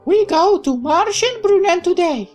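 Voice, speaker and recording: A woman's voice: very high-pitched, animated, unhurried, darkish tone, soft background music, voice pushed up. A woman voicing a bossy, teasing young girl in a visual novel, mocking and scolding. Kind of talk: dramatic reading of a visual novel.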